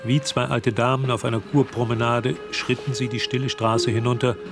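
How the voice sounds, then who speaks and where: Actor doing a male storyteller for a radio play, measured, mid pitch, music under it. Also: flat delivery, lightly acted. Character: measured male narrator of a mystery tale. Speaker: narrator. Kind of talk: scripted radio drama narration. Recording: music behind the voice.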